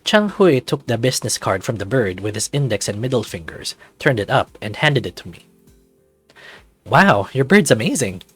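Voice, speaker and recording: A man's voice: on the low side, big pitch swings, brisk, few pauses, breathy edge, no room sound, soft background music. Man narrating a game, dry close sound. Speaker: channel host playing a visual novel. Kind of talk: let's play narration, reading game text aloud.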